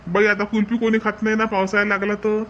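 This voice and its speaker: A man's voice: high-pitched, flat delivery, fast, sharp tone, dull audio, a little hiss or hum. A man playing a chatty village umbrella seller in a comic cartoon, with folksy sales patter and a Varhadi Marathi accent. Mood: persuasive, friendly. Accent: Varhadi Marathi from Vidarbha.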